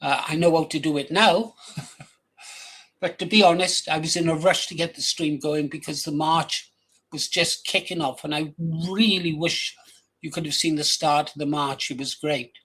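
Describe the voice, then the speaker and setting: Older Welsh-accented male host with a slightly high voice, blunt and rambling, off-the-cuff talk to camera. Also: steady tone, normal speed, fairly bright tone, slightly rough. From England, raised in Wales from age ten. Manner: blunt, crude, rambling with restarts. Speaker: channel host. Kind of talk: casual rambling monologue.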